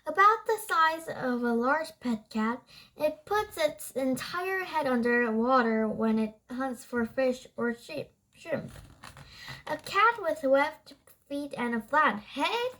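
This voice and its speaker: A child reading a nonfiction book aloud, haltingly, stumbling over and rereading words. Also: high voice, expressive, bright, forceful tone, rough recording.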